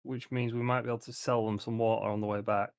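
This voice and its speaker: Brief: male tabletop player, medium pitch, conversational planning, very dry sound. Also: level tone, quick pace, gravelly, dry recording. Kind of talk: casual tabletop game planning.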